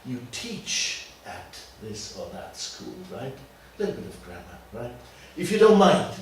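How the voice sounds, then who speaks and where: A man with an Austrian accent and German as his first language, leading an informal workshop as a teacher trainer in a halting, deliberate way with frequent tag questions. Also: mid-range voice, huge pitch swings. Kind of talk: informal workshop talk to a small group. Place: classroom. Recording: distant mic, echoey room, faint noise.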